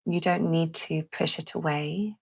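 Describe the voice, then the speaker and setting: A woman leading a calming guided visualisation in a gentle, deliberate manner with long pauses. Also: deep voice, vocal fry, breathy edge. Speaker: guided meditation host.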